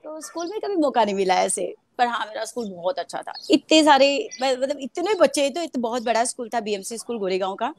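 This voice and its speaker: A young actress from Mumbai talks casually in an interview, her voice sitting a little high. She laughs often and fills her pauses with hesitations as she tells her story. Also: animated, a little hiss or hum. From Mumbai, India.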